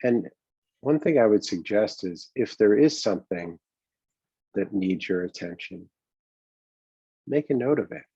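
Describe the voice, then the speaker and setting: A man with a husky voice leads a guided meditation as a coach, speaking gently and conversationally, unhurried and halting, with long pauses. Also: on the low side, lively intonation. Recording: dull, boxy, roomy lo-fi sound.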